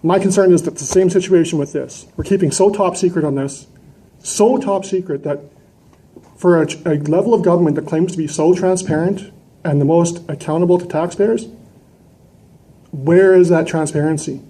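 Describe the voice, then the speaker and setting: A man's voice: on the high side, moderate pace, warm tone, breathy edge. A man giving an impassioned, combative public address, emphatic and full of rhetorical questions. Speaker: citizen delegate at a council meeting. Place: large indoor council chamber. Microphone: gooseneck microphone close to mouth.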